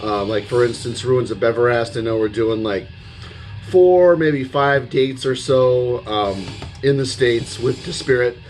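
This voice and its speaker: A man with a slightly hoarse voice giving a casual vlog recap, rambling and hesitant, with the odd casual swear word. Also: medium pitch, measured pace, darkish tone, low-quality recording. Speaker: music vlogger. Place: at home. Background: metal music playing in the background.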